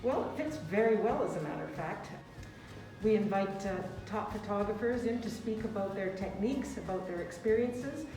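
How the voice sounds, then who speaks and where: A woman's voice: mid-range voice, lively intonation, normal speed. A woman speaking as president of a photography club in an interview, friendly and plain-spoken. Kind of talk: casual interview. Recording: echoey room, far from mic, with music.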